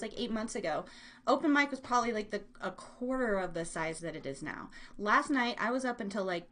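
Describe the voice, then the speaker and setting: Female podcast host, higher-pitched voice, chatty and rambling, playful and self-mocking, talking off the cuff to live listeners. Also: bright, forceful tone. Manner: playful exaggeration and silly made-up words. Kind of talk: casual live chat show, talking to listeners in chat.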